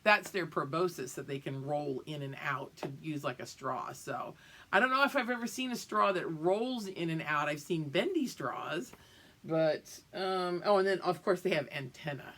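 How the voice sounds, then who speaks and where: Older lady teacher, low voice, explaining stuff simply to little kids like a storytime lesson. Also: expressive, normal speed, fairly bright tone. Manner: simple explanations addressed to small children, with check-ins. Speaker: kindergarten or preschool teacher. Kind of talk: read-aloud lesson for young children.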